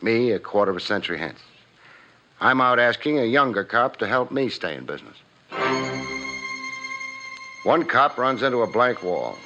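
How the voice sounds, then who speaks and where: An actor playing a wry, hard-boiled private detective with dry, punchy delivery in 1950s radio noir style. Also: male voice, medium pitch, animated, normal speed, pauses often, natural voice. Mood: reflective narration. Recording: muffled old radio recording, faint music.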